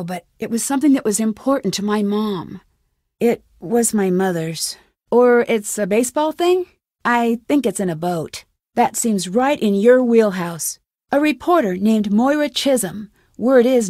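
A woman's voice: on the high side, animated, moderate pace, darkish tone, dry recording, studio quality. A woman voicing a determined, suspicious young amateur detective in a video game, with an earnest, questioning manner. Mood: earnest, guarded.

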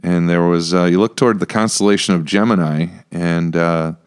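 A man with a low American voice chats as a podcast host. He thinks out loud, wandering through his points in a casual discussion, close to a studio microphone. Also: fairly even intonation, moderate pace, clean tone. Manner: rambling, thinking aloud. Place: small podcast room with acoustic panels. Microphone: close studio mic on stand.

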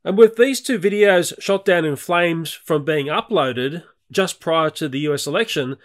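A man's voice: a little high, normal speed. A middle-aged Australian man narrating a nature video in a chatty, candid voice-over.

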